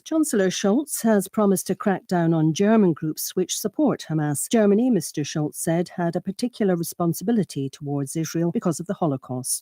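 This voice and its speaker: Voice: mid-range voice, dark tone, dry recording, studio quality. A news reader delivers a scripted international news summary.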